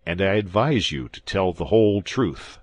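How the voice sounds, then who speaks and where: A man's voice: low voice, animated, normal speed. A man doing a stern, blustering commissary. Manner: loud, severe interrogating.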